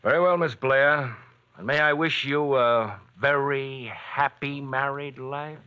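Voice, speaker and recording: An actor playing a stern district attorney who speaks frankly and presses hard, measured in 1940s radio style on a muffled old recording. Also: male voice, mid-range voice, slight background noise, natural voice.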